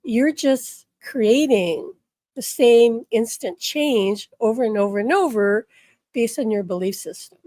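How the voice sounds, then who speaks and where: A slightly hoarse woman giving an earnest spiritual talk, often checking in with the listener. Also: a little high, unhurried, mellow, no room sound. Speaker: spiritual teacher.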